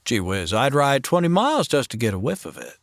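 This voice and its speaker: A man's voice: medium pitch, big pitch swings, brisk, creaky, dry recording, studio quality. A man playing an easygoing, polite cowboy drifter in a comedy audio drama, with a drawling aw-shucks manner.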